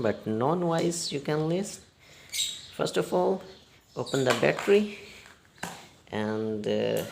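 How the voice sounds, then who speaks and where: Slow, gravelly man giving halting instructions. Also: mid-range voice, a little hiss or hum. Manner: halting, step-by-step instructions in short phrases. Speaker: repair tutorial host. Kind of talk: unscripted hands-on repair demonstration.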